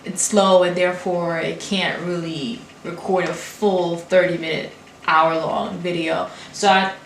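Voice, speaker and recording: Young woman, 20s, from Brooklyn, English not her first language; rapid run-on vlog talk with restarts, echoey room. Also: fairly low pitch, level tone. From Brooklyn, New York. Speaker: graduate student vlogger. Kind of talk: casual talk to camera. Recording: echoey room with faint hiss.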